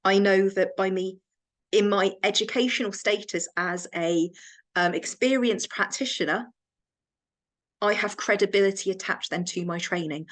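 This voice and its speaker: English woman, counsellor-trainer, reflective, measured delivery, restarts mid-sentence. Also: mid-range voice, fairly bright tone. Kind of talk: recorded training talk.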